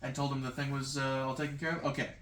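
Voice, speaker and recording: A man's voice: medium pitch, flat delivery, racing, a bit bright. A man casually retelling a roleplaying game session to his group, hesitating and slipping into bits of acted-out dialogue. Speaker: player recapping a tabletop roleplaying game. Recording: echoey room, background noise, clicks.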